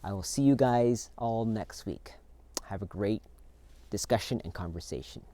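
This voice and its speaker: A man hosting a church video, speaking earnestly and in a measured way to his congregation. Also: on the low side, dark tone, no room sound.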